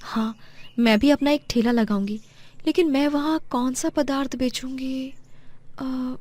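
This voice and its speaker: A woman's voice: high-pitched, fairly even intonation, unhurried, a little mellow, no echo. A woman voicing a wronged pregnant young wife in a moral story, emotional and determined, with dramatic delivery. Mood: hopeful musing.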